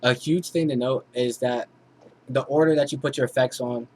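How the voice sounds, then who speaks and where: A man's voice: medium pitch, fairly even intonation, normal speed, a little mellow. A man giving a casual, informal tutorial as a music producer, explaining things step by step. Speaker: music producer teaching vocal mixing.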